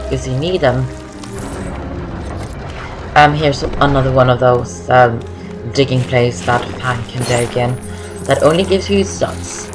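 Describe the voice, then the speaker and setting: A young woman talks through a video game. She is chatty and rambling, often repeating herself, with music playing clearly behind her. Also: a bass voice, low-quality recording. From Norway. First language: Norwegian. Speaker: gaming video host. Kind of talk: casual game walkthrough commentary.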